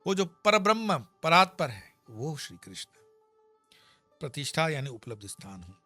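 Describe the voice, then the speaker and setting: A man's voice: a little high, very animated, normal speed, bright, forceful tone, soft background music. Emphatic man giving a scripture talk. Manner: emphatic, scolding asides with homely examples. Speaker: spiritual teacher. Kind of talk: Gita discourse.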